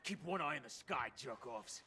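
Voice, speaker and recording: A man's voice: mid-range voice, expressive, normal speed, background noise, lightly acted. An actor playing a hot-tempered, foul-mouthed criminal henchman in a video game, taunting and threatening. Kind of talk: dramatic video game acting.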